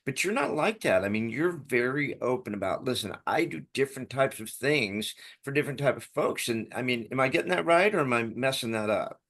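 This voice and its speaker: A man's voice: medium pitch, lively intonation, a little fast, hardly any pauses, a bit bright. A man hosting a podcast interview, talking in an enthusiastic, affirming way and often ending his points with a question. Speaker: podcast host. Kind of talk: conversational interview.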